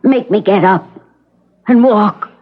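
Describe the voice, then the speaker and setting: An actress in an old radio drama playing a bitter, demanding wife in a wheelchair, insistent and sardonic. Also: female voice, medium pitch, slow pace. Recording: muffled old radio broadcast, noisy.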